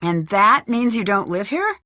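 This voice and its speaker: A woman's voice: mid-range voice, big pitch swings, a little slow, sharp tone, dry recording, clean studio sound. A woman playing a curious, polite young female detective in a video game, asking scripted, inquisitive questions. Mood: friendly, curious. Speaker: game protagonist, investigator. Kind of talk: video game character dialogue.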